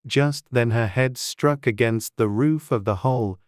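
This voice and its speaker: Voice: mid-range voice, unhurried, no room sound, studio quality. A narrator reading a children's storybook as a scripted audiobook.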